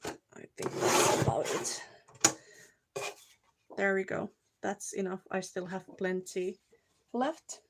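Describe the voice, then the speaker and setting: Slow-talking Finnish-accented woman crafting. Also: mid-range voice, fairly even intonation, a little hiss or hum. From Finland. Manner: chatty, searches for words, talks to viewers while working. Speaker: card-making streamer. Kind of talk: casual live crafting chat. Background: clicking crafting tools.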